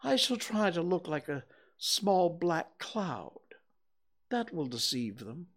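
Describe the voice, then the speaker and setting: A storyteller voicing a gentle, slow-witted male toy bear who talks slowly and stammers. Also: mid-range voice, big pitch swings, voice pushed up, exaggerated voice. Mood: ponderous. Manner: slow, ponderous, hesitant with stammers. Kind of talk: storybook reading.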